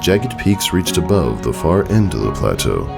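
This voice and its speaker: A man reading a scripted story as narrator, with dramatic, measured phrasing and deliberate pauses. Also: low-pitched, sing-song, creaky. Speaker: fan fiction narrator. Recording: music under the voice. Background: background music.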